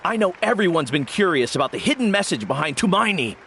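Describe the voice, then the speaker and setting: Brief: polite, eager game messenger, high and lively, faint crowd behind. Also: male voice, fast talker, creaky, slight background noise, full character voice. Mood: eager, apologetic. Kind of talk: video game voice acting.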